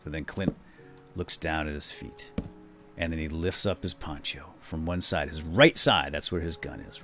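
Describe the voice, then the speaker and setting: A man hosting a video game series, chatting casually and retelling a story with lots of fillers. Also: low-pitched. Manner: rambling, many fillers, lively retelling. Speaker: let's play host. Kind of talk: casual gameplay commentary and storytelling.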